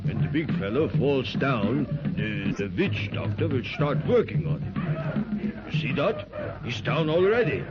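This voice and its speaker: Seasoned guide played by a man, slow and lively in pitch, loud music under old radio. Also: close to an everyday voice. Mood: gruff. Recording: dull 1930s radio transcription, loud music behind.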